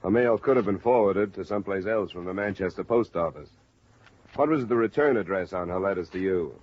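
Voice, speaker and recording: A man's voice: sits low, a little fast, husky. An actor playing a wry, businesslike male insurance investigator in a 1950s radio detective drama, with dry, clipped delivery. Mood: wry, casual. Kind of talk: 1950s radio detective acting and first-person narration. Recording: muffled old radio recording with music.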